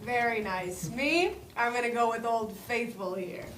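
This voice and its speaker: Brief: high-voiced woman reading a comedy part, very animated, picked up from afar in a noisy room. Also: measured pace. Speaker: reader of a character part. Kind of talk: comedy script table read. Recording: noisy echoey room, far from mic.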